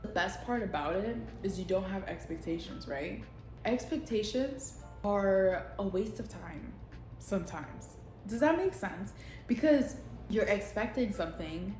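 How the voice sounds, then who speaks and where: A woman's voice: mid-range voice, expressive, a little slow, darkish tone, faint music behind. Blunt, laughing young woman vlogger. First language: Spanish. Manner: blunt, profane, rambling with frequent laughs. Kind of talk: unscripted casual monologue. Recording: roomy echo.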